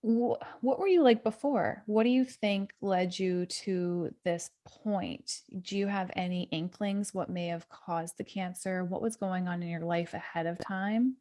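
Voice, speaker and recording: A woman hosting a podcast interview, asking questions softly and affirming her guest often. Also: lowish voice, unhurried, few pauses. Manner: soft, encouraging, frequent affirmations. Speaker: podcast host.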